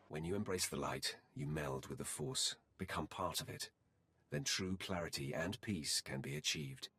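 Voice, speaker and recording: A deep, gravelly Sith warrior. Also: male voice, a bit bright, slight background noise, exaggerated voice. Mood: solemn, admonishing. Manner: measured, formal, grave delivery. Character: deep-voiced Sith warrior confronting his solemn mirror-image light self. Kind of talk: video game dialogue acting.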